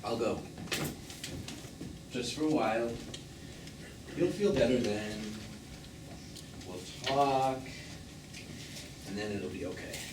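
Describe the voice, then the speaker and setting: Defensive, pleading lover, animated, echoey. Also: male voice, mid-range voice, takes it slowly, long pauses. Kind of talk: live one-act stage drama acting. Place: small theatre stage, recorded from afar. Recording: distant noisy roomy theatre stage recording.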